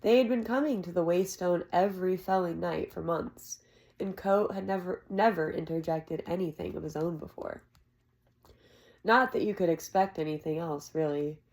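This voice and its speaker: An American woman reads fantasy fiction aloud. Her voice sits a little low with a noticeable creak, and the narration is expressive and storylike. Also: moderate pace. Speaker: amateur audiobook reader.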